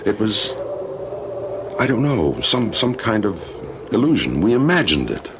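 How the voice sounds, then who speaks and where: An actor in an old-time radio drama playing an uneasy, exasperated husband. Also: male voice, on the low side, big pitch swings, a little slow. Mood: shaken, dismissive. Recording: dull, noisy old radio recording.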